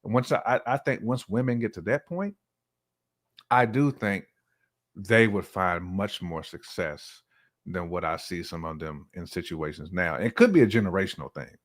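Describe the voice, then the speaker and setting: A man's voice: low voice, normal speed. A man chatting casually and slangily, often acting out imagined quotes as he gives relationship opinions. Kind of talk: casual conversational chat.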